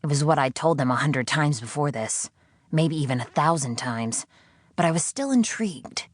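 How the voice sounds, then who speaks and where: A woman reading first-person fiction as an audiobook narrator, in a measured, expressive way. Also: sits low, no room sound, clean studio sound.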